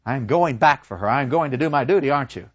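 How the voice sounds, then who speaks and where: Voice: mid-range voice, expressive, quick pace, husky. A storyteller voicing a guilt-stricken scholar, earnest and stammering, in a dull, dry lo-fi recording.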